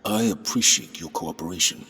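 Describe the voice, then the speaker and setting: A man playing a principled, burdened military commander in a video game, speaking in a grave, measured, formal way. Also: sits low, pushed and bright, slightly rough, heavily acted. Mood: measured, resolute. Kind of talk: video game cutscene acting. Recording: orchestral music behind the voice.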